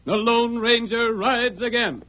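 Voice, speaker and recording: A man's voice: very high voice, fairly even intonation, a little slow, sharp tone, slight background noise. A man narrating as a radio announcer in a formal, emphatic, theatrical 1940s style, on a dull old broadcast recording.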